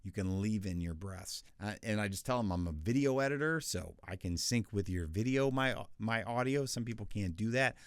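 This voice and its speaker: A man with a deep bass voice, chatting through freelance voiceover tips in a casual, conversational way. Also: moderate pace, hardly any pauses, smooth, dry recording, clean studio sound. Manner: chatty, conversational, with restarts and asides. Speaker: voice actor teaching freelancers. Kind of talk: casual tutorial talk.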